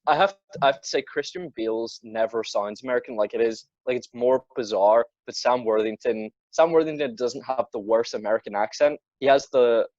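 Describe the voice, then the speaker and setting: Teenage male, film podcast co-host, dull lo-fi audio, dry sound, blunt, sweary, halting delivery. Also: medium pitch, darkish tone, no echo. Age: teens. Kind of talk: casual film discussion.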